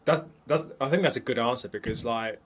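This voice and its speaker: A man's voice: mid-range voice, brisk, slightly rough. A man co-hosting a podcast, thinking aloud thoughtfully with stumbling restarts. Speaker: podcast co-host. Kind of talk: casual chat.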